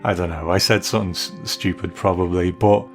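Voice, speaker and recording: A man's voice: deep voice, steady tone, a little fast, soft background music. A man with a British accent hosting a podcast, musing casually and tentatively as he comments. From Britain. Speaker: podcast host.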